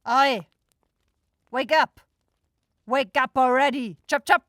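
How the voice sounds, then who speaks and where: A woman's voice: high voice, takes it slowly, fairly bright tone, dry recording. Casting: woman voicing a demon woman supervisor, brusque, exasperated, sarcastic; fantasy roleplay, tight dry recording. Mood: barking, impatient. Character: bossy, grumbling demon woman shopkeeper, sweary supervisor. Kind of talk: fantasy audio roleplay acting.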